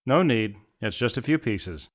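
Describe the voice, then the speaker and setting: An actor playing a polite, inquisitive, self-assured mercenary guest in an audio drama. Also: male voice, medium pitch, expressive, a little slow, very noisy, close to an everyday voice. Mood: courteous, curious.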